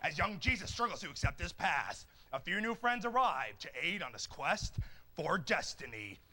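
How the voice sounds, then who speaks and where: A man playing a dramatic movie-trailer announcer with a booming, portentous delivery. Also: very animated, moderate pace, background noise. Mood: ominous.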